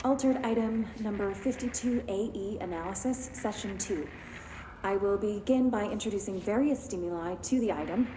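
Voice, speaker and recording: Actress as echoey, deadpan female lab researcher. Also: mid-range voice, moderate pace, natural voice. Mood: clinical, deadpan. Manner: clinical, deadpan, methodical. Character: detached female lab researcher logging an experiment. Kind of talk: formal spoken test log. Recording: echoey, noisy laboratory recording.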